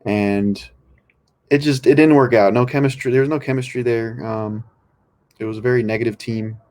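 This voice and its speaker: A man's voice: mid-range voice, fairly even intonation, moderate pace, darkish tone. A young man from California, a podcast guest, talking in a laid-back, rambling way with many ums. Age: young adult. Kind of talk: casual podcast chat.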